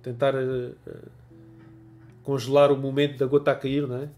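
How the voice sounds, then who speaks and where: A man's voice: mid-range voice, level tone, normal speed, warm tone. Man, 40s, European Portuguese accent, stuffy with a cold, chatty livestream host, earphone mic, faint music behind. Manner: chatty, laughs often, greets viewers by name. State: has a cold. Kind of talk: casual livestream chat reading viewer comments. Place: small home room with desk lamp.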